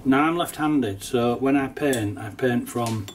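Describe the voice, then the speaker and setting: A middle-aged man with a cold, sounding nasal, casually talking through a watercolour painting demonstration at his desk, relaxed and chatty with small laughs. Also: medium pitch, a little slow, far from the mic, slight background noise. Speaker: watercolour teacher. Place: painting desk at home, indoors.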